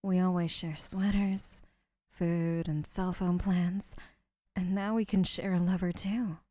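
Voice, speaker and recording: A young woman voicing an adoring, obsessive female kidnapper who gushes softly and often whispers. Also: fairly low pitch, fairly even intonation, slow pace, no echo. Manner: soft, gushing, often whispering. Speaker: voice actor. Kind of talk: audio roleplay.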